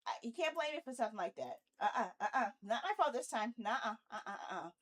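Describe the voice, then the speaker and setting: Female game streamer, higher-pitched, informal and playful, animated chatter with muttered asides. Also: fast. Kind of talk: casual live stream chat.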